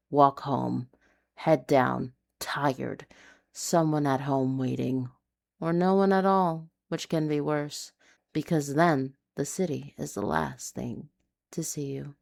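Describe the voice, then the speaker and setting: A woman reading a noir urban fantasy story in a hushed, dramatic, deliberate way. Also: sits low, creaky. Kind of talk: scripted noir confessional storytelling.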